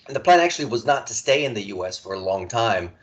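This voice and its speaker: Male guest, 40s, from Serbia; low voice, rambling, halting conversational telling. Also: sing-song. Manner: halting, many restarts and repeated words. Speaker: podcast guest. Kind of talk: casual conversational storytelling.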